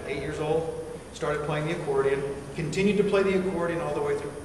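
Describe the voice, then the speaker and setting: A man's voice: on the high side, steady tone, fairly quick, recorded from a distance. A man in his forties from Chile, an army band musician giving an informal talk to cadets, chatty and quizzing the audience. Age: 40s. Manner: chatty, asks the audience questions. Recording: echoey hall, picked up from afar with background noise.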